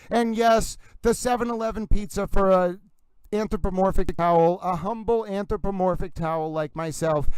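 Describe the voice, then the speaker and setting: A man's voice: high voice, clean tone, no echo, rough recording. A man hosting a livestream in a gushing, theatrical singsong manner, a little breathless as he chats with viewers. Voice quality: slightly breathless. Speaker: livestream host. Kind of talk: casual livestream chat with viewers.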